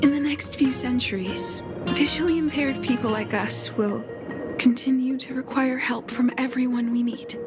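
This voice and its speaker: Woman voicing a caring female game healer, high soft voice, measured, soothing, earnest delivery, clear background music. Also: lightly acted. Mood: kind but firm. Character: gentle blind female healer, caring and calmly wise. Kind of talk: scripted video game voice acting.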